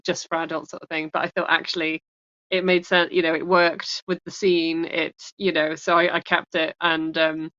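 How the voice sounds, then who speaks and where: A woman with a fairly low voice speaks candidly as an interview guest. She rambles, breaks off mid-sentence and laughs easily. The sound is dry and muffled, like lo-fi call audio. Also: little pitch movement, moderate pace, bright, forceful tone, dry recording. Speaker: author guest.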